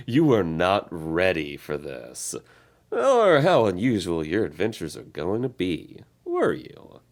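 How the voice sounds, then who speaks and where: A man's voice: low-pitched, wildly swooping pitch, slow, exaggerated voice. A man playing a mischievous game announcer, reading out notes in a theatrical, taunting way. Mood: gleefully teasing. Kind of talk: game-style announcement in audio drama.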